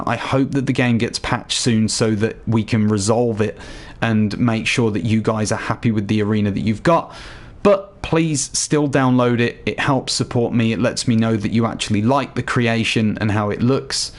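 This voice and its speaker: A man with a slightly hoarse voice chatting casually to viewers while walking them through a game showcase. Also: mid-range voice, normal speed. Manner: chatty, addresses viewers directly. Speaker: gaming YouTuber. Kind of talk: casual game tutorial voice-over.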